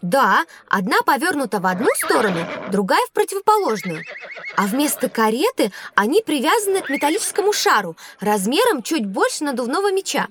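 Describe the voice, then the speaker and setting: A woman voicing a curious young girl pupil with an expressive, questioning delivery in an educational audio drama. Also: high-pitched, a little fast, noisy background.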